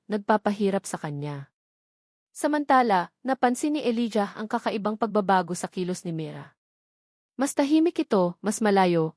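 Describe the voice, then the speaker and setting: A woman's voice: mid-range voice, a little mellow, dry recording. Woman narrator, dry close sound. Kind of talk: scripted dramatic love story narration.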